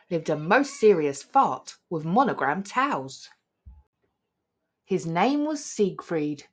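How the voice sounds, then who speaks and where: Woman reading a children's storybook, very expressively. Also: fairly low pitch. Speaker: storybook reader. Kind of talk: animated children's read-aloud in rhyme.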